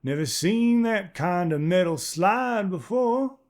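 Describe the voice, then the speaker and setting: A man's voice: high-pitched, animated, slow, pitched above the performer's own voice. A man doing a wary old frontiersman. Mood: curious. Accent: odd near-Southern drawl. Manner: gruff, clipped, old-fashioned folksy speech. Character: wary weathered older frontier man, armed.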